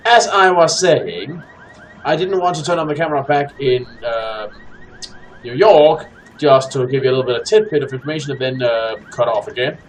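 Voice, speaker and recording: A man gives casual let's play commentary as the host. His phrasing is wordy and a little formal, his pitch is medium, and faint game ambience sits behind him. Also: normal speed, slight background noise, rough recording. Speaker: host of a co-op gaming series.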